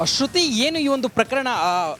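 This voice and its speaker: A man's voice: very high voice, big pitch swings, measured pace, sharp tone, soft background music. A man giving an unscripted live news report to the studio, explaining in wordy, formulaic reporter phrasing.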